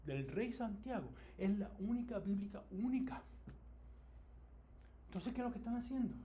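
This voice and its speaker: A man leads an informal church Bible class, his voice pitched high and lively as he quizzes the group and circles back over his points. Faint room noise sits behind him. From Latin America. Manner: animated, asks the class questions, repeats himself. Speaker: Bible study teacher.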